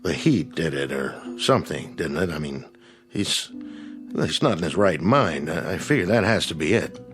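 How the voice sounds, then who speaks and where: Deep-voiced, kindly diner cook. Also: male voice, lively intonation, moderate pace, over music. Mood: puzzled. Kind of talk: radio drama acting.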